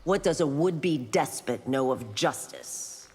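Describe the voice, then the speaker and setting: Dutiful female enforcer for a fighting game: low-voiced, measured, unbending, stern. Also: lively intonation, a little mellow, exaggerated voice. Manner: firm, unbending. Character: stern, dutiful woman officer of the law. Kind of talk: video game fight-intro dialogue. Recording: reverberant hall, faint noise.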